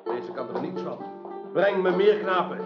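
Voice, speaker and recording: A man's voice: high voice, expressive, unhurried, roomy sound. An actor playing a debauched, bullying and cowardly usurper king in a radio drama, barking out commands theatrically. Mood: drunk, commanding. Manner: imperious, shouting commands. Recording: dull radio play recording, music behind.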